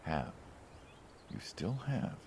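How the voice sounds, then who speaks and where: A man's voice: takes it slowly, darkish tone, slight background noise, natural voice. An actor playing a wary but uneasily friendly mob hitman in a video game, speaking in a hesitant, skeptical, conversational way. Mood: firm warning.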